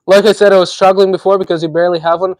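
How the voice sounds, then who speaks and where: A man's voice: high-pitched, flat delivery, rapid-fire, a little mellow, dry recording, rough recording. A man, a native Russian speaker with a slight Russian accent, hosting a casual livestream. He chats while building, laughs often and answers viewers' comments. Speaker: livestream host.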